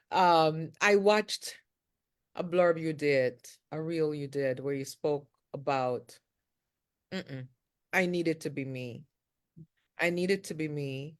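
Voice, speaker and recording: A woman hosts the conversation, speaking slowly and deliberately. Her pitch moves a lot, sitting a little low. She asks her questions in an encouraging manner. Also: expressive. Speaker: interview host. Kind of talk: conversational interview.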